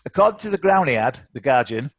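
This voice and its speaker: A British man hosts a live online quiz in a chatty, bantering way. His voice sits at a medium pitch. The sound is dry, with little room around it. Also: big pitch swings, brisk, no room sound. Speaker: quiz host. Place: indoors, on a video call.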